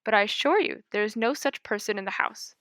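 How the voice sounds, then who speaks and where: A guarded hotel room clerk, a man who insists politely but firmly, as a woman reader voices him. The voice is bright and smooth, close and dry. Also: mid-range voice, animated, brisk, no echo. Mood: wary.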